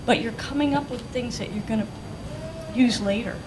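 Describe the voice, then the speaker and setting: A woman on an art school panel, speaking briskly and conversationally. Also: fairly high pitch, background noise.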